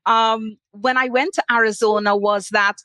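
Trinidadian woman, nurse guest, earnest and emphatic, slightly high, dry sound. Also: fairly even intonation, normal speed, sharp tone, no echo. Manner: earnest, emphatic, lists items. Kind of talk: panel talk.

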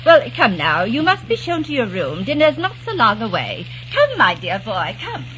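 A woman's voice: on the high side, wildly swooping pitch, moderate pace. An actress playing a gracious, effusive middle-aged aristocratic mother, grand and bustling, in a 1940s radio drama. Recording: dull, hissy 1940s radio recording.

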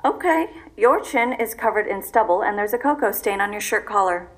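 A woman playing a composed, secretive, businesslike boss in an audio drama, speaking in a dry, understated, measured way. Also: mid-range voice, expressive, a bit bright, natural voice. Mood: dry patience.

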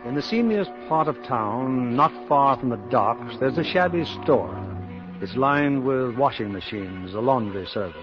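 A male narrator sets the scene for a 1950s radio drama in a mid-pitched voice. Music plays clearly behind him, and the recording is muffled. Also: expressive, a little slow. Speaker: producer and narrator.

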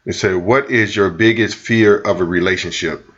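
A man in his late forties talks easily and playfully as a podcast co-host. His voice sits low. The recording sounds dull and lo-fi. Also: normal speed. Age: 40s. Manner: relaxed, joking, sings snatches of songs. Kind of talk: casual chat.

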